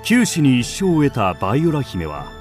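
A man narrating a scripted radio drama in a formal, expressive storytelling manner. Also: medium pitch, moderate pace, soft background music.

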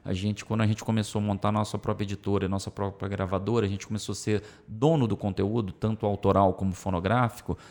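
Deep-voiced Brazilian man with a country twang. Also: fast, hardly any pauses. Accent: Brazilian Portuguese with a country twang picked up from the Center-West. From São Paulo state, Brazil. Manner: storytelling, relaxed, many fillers. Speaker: music publisher guest. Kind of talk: casual interview talk.

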